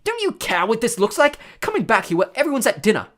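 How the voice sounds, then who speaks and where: Narrator doing a bossy teen prefect boy, pompous scolding, big swings in pitch, storybook. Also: huge pitch swings, fast talker, pushed and bright. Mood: fierce scolding.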